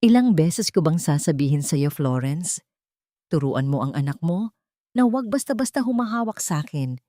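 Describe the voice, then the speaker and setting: A woman's voice: sits low, expressive, moderate pace, dark tone, no room sound, studio quality. A woman voicing a haughty, scornful elderly mother-in-law in a story, scolding sharply.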